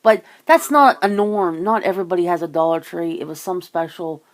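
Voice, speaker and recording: A woman's voice: fairly low pitch, lively intonation, brisk. A woman having a casual phone chit-chat, rambling and teasing, with some casual swearing. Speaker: ASMR channel host.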